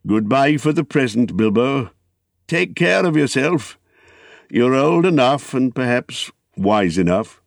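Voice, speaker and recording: A man voicing a stern but protective old wizard in a storybook, giving firm, measured commands. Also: mid-range voice, animated, creaky, no room sound, clean studio sound, pitched above the performer's own voice. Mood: kindly.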